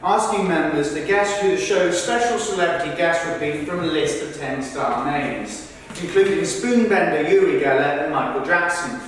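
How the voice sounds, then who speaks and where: A man performing a rehearsed reading of verbatim texts in a formal, deliberate, projected delivery. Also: a little high, lightly acted. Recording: echoey hall, far from mic, faint noise.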